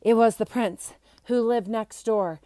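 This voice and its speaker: A woman's voice: a little high, a little slow, dark tone, no echo. A woman reading a children's book aloud, expressively acting out the characters and adding short explanatory asides. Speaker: storybook reader.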